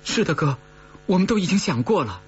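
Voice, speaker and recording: A man's voice: sits high, sing-song, a little fast, husky, faint music behind, pitched above the performer's own voice, heavily acted. A man voicing a flustered young woman who pleads earnestly with her older brother in an audiobook story. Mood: earnest pleading. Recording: dull recording with faint hum.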